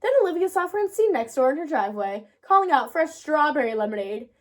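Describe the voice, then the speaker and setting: A woman narrating a children's storybook aloud in an expressive read-aloud style. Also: sits high, quick pace. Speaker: storybook reader.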